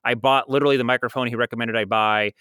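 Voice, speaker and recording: A man's voice: medium pitch, rapid-fire, clear, resonant tone, dry recording, studio quality. A middle-aged American man telling anecdotes in a casual interview, rambling and acting out quotes as he goes. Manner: animated rambling storyteller, acts out quotes. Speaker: audio drama producer, guest.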